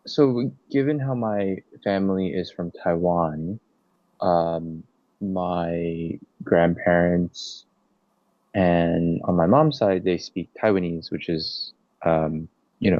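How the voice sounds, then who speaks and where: A young man with a very low voice answers interview questions in a halting, casual way, stopping often to think. The sound is dry and a little dull, like a lo-fi recording. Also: steady tone, no room sound. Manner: hesitant, many fillers and restarts. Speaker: interviewee, nursing student.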